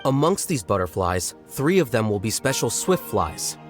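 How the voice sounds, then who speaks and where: A man playing a stern, businesslike contest announcer in a video game, speaking formally and deliberately. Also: fairly low pitch, big pitch swings, faint music behind.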